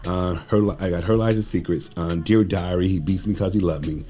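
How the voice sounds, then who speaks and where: A man's voice: deep voice, fairly even intonation, fairly quick, mellow. An American man talking as a guest playwright, telling stories in a conversational, informal and slangy way. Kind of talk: conversational interview storytelling.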